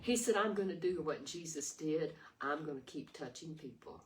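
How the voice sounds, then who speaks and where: An older woman with a raspy voice giving an earnest, rambling devotional talk full of restarts. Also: deep voice, moderate pace. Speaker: devotional video host. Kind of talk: informal devotional sermon. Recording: distant mic with faint noise.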